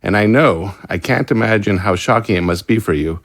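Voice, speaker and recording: An actor playing a guilt-ridden, resigned scientist held prisoner in an audio drama, speaking formally and articulately with regret. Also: male voice, fairly low pitch, brisk, dry recording. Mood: resigned, grim.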